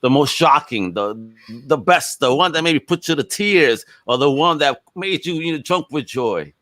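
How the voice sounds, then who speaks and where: A man's voice: on the high side, lively intonation, moderate pace, a bit bright, no echo. A man hosting a podcast in a casual, enthusiastic, slangy chat style. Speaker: podcast host.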